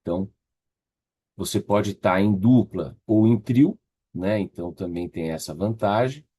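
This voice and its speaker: Slightly husky Brazilian man leading a workshop. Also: on the low side, unhurried, dark tone. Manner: conversational, encouraging, checking in with tag questions. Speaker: course instructor leading an online workshop. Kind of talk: casual interactive online teaching.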